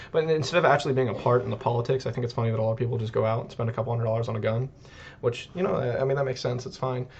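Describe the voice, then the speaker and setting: A man from Northern California talks fast with a gravelly edge, rambling and correcting himself mid-sentence as he co-hosts a casual podcast. The recording sounds dull and lo-fi. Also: mid-range voice, a little mellow, a little hiss or hum. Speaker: podcast co-host.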